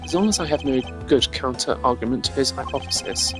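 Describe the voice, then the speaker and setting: A man voicing a composed, analytical prosecutor in a video game, speaking in a measured, formal way. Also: mid-range voice, animated, over music, close to an everyday voice. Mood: grave, analytical.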